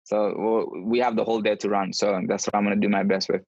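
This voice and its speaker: A man's voice: lowish voice, level tone, fast talker, darkish tone, no echo. A man talking casually and earnestly as an interview guest, telling his own experiences over a video call. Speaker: podcast guest, endurance runner. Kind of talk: casual conversational interview answers. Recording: dull lo-fi video call audio.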